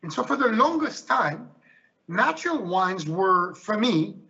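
Passionate high-voiced Swiss-born man. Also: very animated, a little slow, sharp tone, breathy edge, muffled recording. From Switzerland. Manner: passionate, emphatic. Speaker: winemaker. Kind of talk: panel discussion.

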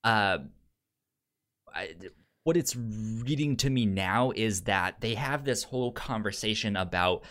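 A man co-hosting a casual film discussion, thinking aloud haltingly, with a stutter that repeats syllables. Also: on the low side, a bit bright. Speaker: podcast co-host discussing a film.

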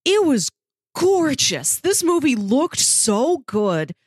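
A woman's voice: sits high, very animated, slow pace, no echo, clean studio sound. A woman co-hosting a podcast, chatting casually in a review discussion. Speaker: podcast co-host.

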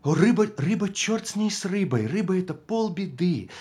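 Актёр играет ревнивого, жестокого мужа, который издевается над женой и то шипит, то срывается на крик. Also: male voice, high-pitched, lively intonation, normal speed. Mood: quiet, mocking. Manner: mocking, swings from menacing whispers to shouting. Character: jealous abusive husband tormenting his wife. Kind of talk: stage drama performance.

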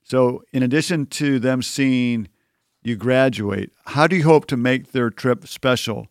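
Encouraging male interviewer, mid-pitched. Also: measured pace, dry recording, clean studio sound. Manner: encouraging, asks follow-up questions. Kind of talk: conversational interview.

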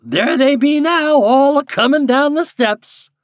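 A man's voice: very high-pitched, a little slow, no echo, clean studio sound, voice pushed up. A man voicing a sly old American backwoods guide with a folksy rustic dialect in a storybook reading. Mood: chuckling, knowing.

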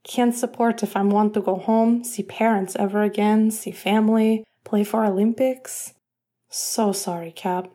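Apologetic Russian hockey player in broken English, as a woman reads him, slow and mellow. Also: medium pitch. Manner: broken halting English, pained.

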